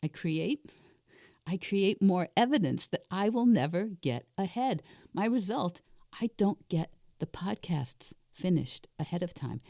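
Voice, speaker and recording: A woman in her sixties with a raspy voice, slightly under the weather, coaching herself aloud on a solo podcast in a deliberate, reflective way. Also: lowish voice, big pitch swings, dark tone, dry recording, clean studio sound. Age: 60s. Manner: deliberate, reflective, asks and answers own questions. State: unwell with a cold-like illness. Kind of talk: self-coaching monologue, thinking aloud.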